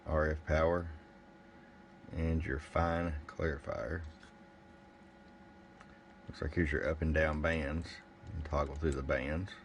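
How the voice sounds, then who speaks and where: A man's voice: extremely low pitch, flat delivery, takes it slowly, gravelly, pauses often. A man giving a casual bench review of gear, plain-spoken and thinking aloud as he goes. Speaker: product reviewer. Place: indoor electronics workbench. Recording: faint background noise.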